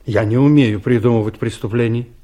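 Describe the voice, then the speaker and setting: A male actor plays a mild ageing investigator in a radio play. He is a law-abiding, fussy man with a medium-pitched voice, explaining things earnestly and without hurry. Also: steady tone, mellow, natural voice. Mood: earnest, reluctant. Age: older. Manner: earnest, pedantic, unhurried explaining. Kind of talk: audio drama dialogue.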